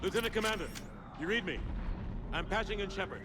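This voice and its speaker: An actor playing a gruff, commanding older admiral who barks urgent orders in a video game. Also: male voice, sits high, fast talker, very noisy, close to an everyday voice. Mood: determined. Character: gruff, commanding older male military admiral under fire. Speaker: military admiral. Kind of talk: dramatic video game acting.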